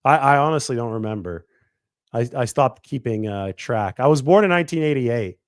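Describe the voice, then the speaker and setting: A man in his thirties talking through a football analysis on his own show, quick and analytical, often restarting and correcting himself mid-sentence. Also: mid-range voice, lively intonation, no echo, clean studio sound. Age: 30s. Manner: quick analytical delivery with frequent self-corrections. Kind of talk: sports analysis monologue.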